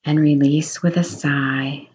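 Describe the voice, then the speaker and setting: A woman guiding a meditation in a slow, soothing voice, offering gentle reassurance. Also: sits low, steady tone. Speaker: meditation guide. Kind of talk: guided meditation.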